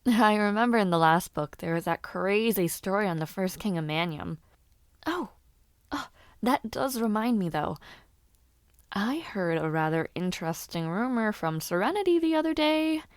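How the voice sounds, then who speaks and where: A woman's voice: medium pitch, animated, normal speed, dry recording, clean studio sound, natural voice. A woman voicing a chatty young noblewoman who loves gossip, talking casually and teasingly with frequent laughs. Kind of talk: audio roleplay addressed to the listener.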